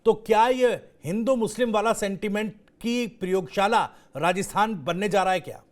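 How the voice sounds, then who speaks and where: A male news anchor with a notably high voice leads a televised debate. He presses his guest with sharp, direct questions. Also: normal speed.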